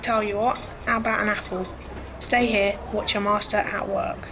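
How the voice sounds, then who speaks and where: A woman's voice: mid-range voice, flat delivery, moderate pace, background noise, natural voice. A woman playing a cheeky, streetwise young pickpocket boy with a London accent in an audio drama, cocky and friendly. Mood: cheeky, knowing.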